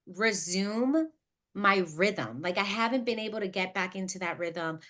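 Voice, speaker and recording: A woman in her late thirties with a bright, forward voice and a middling pitch. She talks like a podcast host in casual conversation, reflective and wordy, sorting out her thoughts as she goes. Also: animated, unhurried. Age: late 30s. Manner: self-reflective, thinking out loud, wordy.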